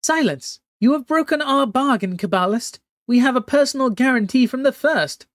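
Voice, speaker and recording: A man's voice: expressive, normal speed, no room sound, studio quality. A man voicing an imperious, tentacled female sea empress who speaks of herself as "we" and screeches her commands. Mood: screeching. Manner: regal royal "we", shrill and commanding.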